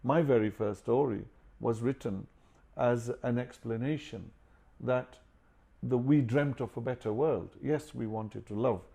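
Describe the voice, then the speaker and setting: A man's voice: mid-range voice, a little slow, gravelly, mellow, breathy edge. A man born in Pakistan, an author and publisher, speaking earnestly and outspokenly in an interview. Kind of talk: reflective interview talk.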